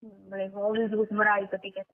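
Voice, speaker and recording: A woman's voice: medium pitch, flat delivery, fairly quick. A woman with a Marwari accent from western Rajasthan chatting casually on a private phone call. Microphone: telephone line. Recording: tinny phone call recording.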